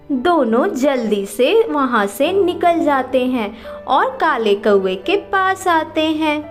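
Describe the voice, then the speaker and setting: High pitched lady telling a kids story, really slow, light music under it. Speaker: narrator. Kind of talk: scripted children's story narration.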